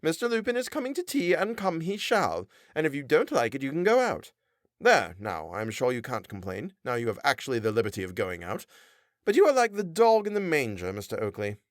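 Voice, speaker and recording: A man's voice: huge pitch swings, brisk, no room sound, clean studio sound. A man reading a novel aloud, voicing a nagging, pious middle-aged wife with a nasal twang and a scolding manner. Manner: shrill, scolding, self-righteous. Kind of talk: audiobook character voice.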